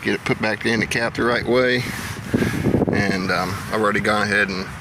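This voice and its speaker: An American man from Indiana giving a casual, plain-spoken, unhurried how-to demonstration as a hobby vlogger, pausing as he works. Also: fairly low pitch, fairly even intonation, very noisy. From Indiana, United States. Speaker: amateur radio hobby vlogger. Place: outdoors.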